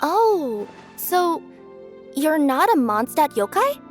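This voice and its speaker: A cheerful cat spirit girl talks in a very high, lively voice. She is playful and easygoing, a friendly fantasy game courier, with faint music underneath. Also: slow, full character voice. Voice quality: high, girlish. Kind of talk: animated game voice acting.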